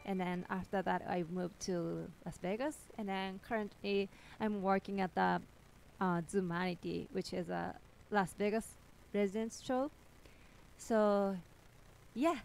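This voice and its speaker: A young woman with a Japanese accent speaks slowly and hesitantly to her stream viewers, filling pauses with ums and giggles. Her voice is smooth and clear. She sits in a home music room, talking into a studio mic. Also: medium pitch, fairly even intonation, darkish tone, low-quality recording. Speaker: cellist streaming with a co-host. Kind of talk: casual livestream chat. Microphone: headphones on, studio mic on a stand.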